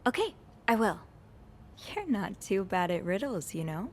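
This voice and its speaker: A curious young hotel maid in a 1950s mystery game. She speaks at a medium pitch with a thoughtful, earnest, conversational manner. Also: big pitch swings, unhurried, slight background noise. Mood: thoughtful, friendly. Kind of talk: conversational video game acting.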